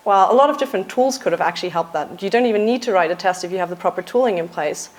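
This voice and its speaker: A woman's voice: medium pitch, rapid-fire. Woman from Austria giving a casual tech talk. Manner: casual, lightly joking, with filler uhs. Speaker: conference speaker, software engineer. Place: conference stage.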